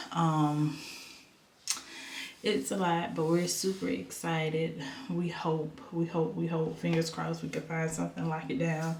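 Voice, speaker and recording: Young woman vlogger, stuffy nasal voice, rambling casual delivery, echoey room, faint hiss. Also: on the low side. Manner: rambling, repeats herself, many ums. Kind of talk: casual vlog chat. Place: in her home. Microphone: camera on a stand.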